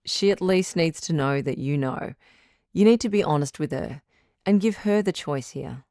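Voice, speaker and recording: A woman playing a composed, frank relationship doctor who hosts a radio call-in drama in a measured, counselling way. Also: low voice, lively intonation, warm tone, no echo, studio quality. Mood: firm, caring. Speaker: radio advice show host.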